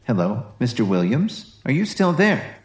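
A man voicing a soft-spoken, polite bank customer service agent. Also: medium pitch, very animated, unhurried, reverberant, voice pushed up. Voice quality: soft, formal.

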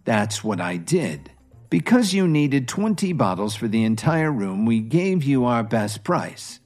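A man voicing a calm, smug hotel manager who speaks slowly and evenly. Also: mid-range voice, faint music behind. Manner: calm, measured, sarcastic.

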